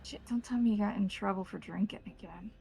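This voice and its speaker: A woman voicing a gruff, blunt male police colleague on a phone call in a tabletop roleplaying game. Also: medium pitch, a little mellow, a little hiss or hum. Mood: alarmed concern. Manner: swearing, blunt, halting cop talk.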